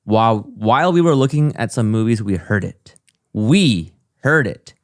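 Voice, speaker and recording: A man's voice: lowish voice, animated, moderate pace, no room sound. A man co-hosting a comedy podcast, reading a listener's email aloud in a dry, matter-of-fact way with joking asides. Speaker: co-host.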